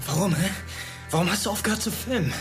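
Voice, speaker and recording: A man's voice: high voice, lively intonation, fast talker, over music. An actor playing an awkward, nervous teenage schoolboy in a radio drama, hesitant and stammering over his words. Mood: questioning, uneasy. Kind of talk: modern radio drama acting.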